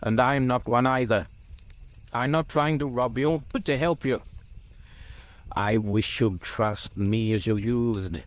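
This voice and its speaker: A man voicing a grave old male wizard in a storybook, stern and patient, with a quiet, measured manner. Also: mid-range voice, natural voice. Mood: gentle, pleading.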